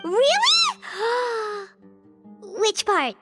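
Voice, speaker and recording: A tiny childlike game fairy, eager and squeaky. The pitch is extremely high and very animated, delivered slowly and exclaimed with bubbly curiosity. Music plays clearly behind the voice. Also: female voice, full character voice. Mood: surprised. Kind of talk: anime game voice acting. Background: clear background music.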